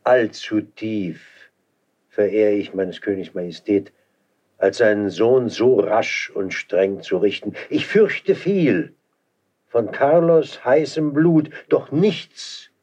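A man playing a loyal, cautious count in classical verse radio drama, measured and deferential, in band-limited sound. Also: lowish voice, darkish tone, highs cut off. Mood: cautious, respectful.

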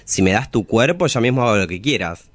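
A man's voice: lowish voice, lively intonation, a little fast, no room sound. A man playing a flirtatious, ruthless travesti criminal in an audio drama, languid and cool.

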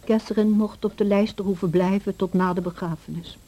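Soft, husky housekeeper played by a woman. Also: medium pitch, steady tone, fairly quick, lightly acted. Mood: grave recounting. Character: loyal, caring housekeeper recounting a family's story. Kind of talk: radio play storytelling.

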